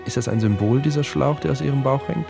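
A man interviewing, haltingly and insistently repeating his probing questions. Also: medium pitch, gravelly, over music.

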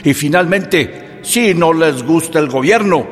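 A man's voice: on the high side, expressive, unhurried. A defiant political leader in a radio drama, declaiming. His stern words ring with long hall reverb. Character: stern, defiant male government leader giving a speech.

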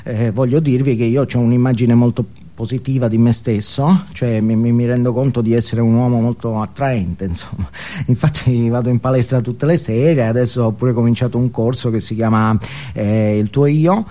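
Halting, neurotic man confessing, played by an actor. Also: medium pitch, mellow, close to an everyday voice. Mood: awkward, confessional. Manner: halting, stammering, repeating words, self-justifying. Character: neurotic, ashamed, self-absorbed man confessing to therapy group. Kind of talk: comic theatrical monologue.

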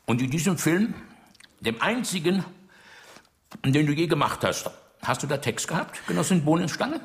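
A man's voice: fairly high pitch, sing-song, normal speed. An actor playing an older, menacing dictator in a radio drama, mocking and commanding as he interrogates. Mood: needling, domineering.

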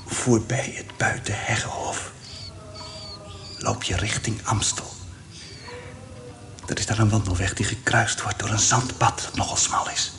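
An actor in a radio play as a condemned prisoner, whispering a secret in a breathy, creaky voice. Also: male voice, fairly low pitch, steady tone, unhurried, long pauses, over music. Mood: secretive, strained.

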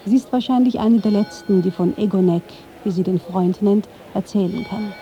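Slightly muffled recording, woman, documentary narrator, measured literary reading, medium pitch, very soft dark tone. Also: slight background noise. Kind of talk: scripted radio feature narration.

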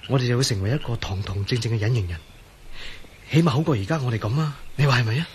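A man's voice: medium pitch, fast, slight background noise, natural voice. An actor playing a desperate, horrified man in a Cantonese radio drama, overwrought and pleading, with a Hong Kong accent. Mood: hopeful. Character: anguished, desperate man horrified at becoming a living skeleton.

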